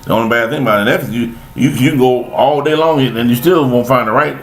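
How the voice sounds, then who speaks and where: Older guy, raspy fry, rambling on preachy about life, kinda repeats himself. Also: mid-range voice, fast talker, a little hiss or hum. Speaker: vlogger giving life commentary. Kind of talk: rambling spoken monologue.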